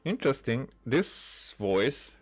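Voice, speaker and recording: A man giving casual let's-play commentary, musing aloud in a halting, hesitant way. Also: mid-range voice, sing-song, breathy edge, soft background music. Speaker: gaming video host.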